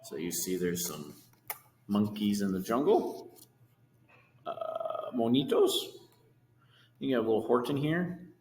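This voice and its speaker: Low-voiced man reading in an echoey room. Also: very animated, slow, long pauses, warm tone. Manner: explanatory, addressing young listeners. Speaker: story reader. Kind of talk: animated children's storybook read-aloud with asides. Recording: echoey hard room, long reverb, hiss.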